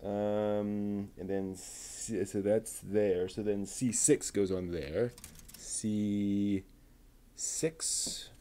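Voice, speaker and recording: A man talking himself through assembling a model kit, muttering and pausing between steps. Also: on the low side, fairly even intonation, dark tone. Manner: slow, halting, with many pauses. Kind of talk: casual build narration.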